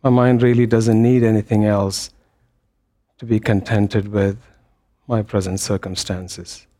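A man leading a guided meditation as a teacher, speaking gently in short phrases with long pauses between them. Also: lowish voice, level tone. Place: meditation hall.

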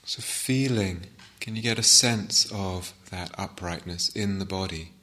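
Slow, low-voiced man guiding meditation. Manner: gentle, unhurried, with long pauses. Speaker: meditation teacher. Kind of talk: guided meditation instruction.

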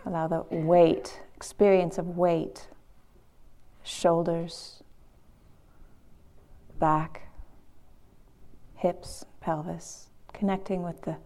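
A woman teaching guided meditation, gently and in measured phrases with long pauses. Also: lowish voice, level tone.

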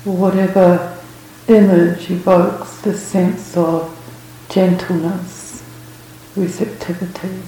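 A woman leading a guided meditation as a teacher, speaking gently and unhurriedly in short phrases with long pauses. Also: lowish voice, level tone. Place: reverberant indoor room at a retreat. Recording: very echoey room, faint background noise.

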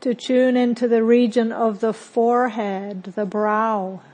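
Slow, calm woman guiding meditation. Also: fairly high pitch, rough recording. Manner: calm, gentle, unhurried with long pauses. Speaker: meditation teacher. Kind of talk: guided meditation, body scan.

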